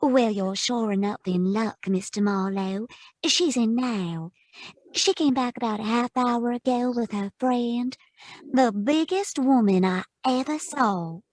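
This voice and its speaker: A woman's voice: a little high, a little slow, no room sound. An actress playing a flustered, officious female hotel clerk, fussy and hesitant, in old radio drama style. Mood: chatty. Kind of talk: 1940s radio detective drama acting.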